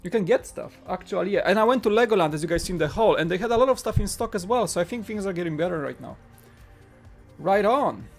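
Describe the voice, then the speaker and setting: A man in his thirties whose first language is Polish chats casually as a livestream host. His voice sits a little high for a man, and he rambles, circling back to repeat short phrases. Music plays behind him. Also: creaky. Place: small home studio room. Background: background music.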